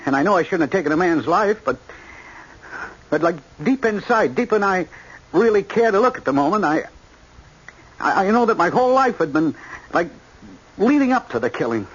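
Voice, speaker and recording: An actor playing a frank, brooding young male killer in prison, halting as he searches for words, in a vintage radio drama. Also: high-pitched, very animated, fairly bright tone, breathy edge, exaggerated voice. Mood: brooding, confessional. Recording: dull lo-fi old radio recording.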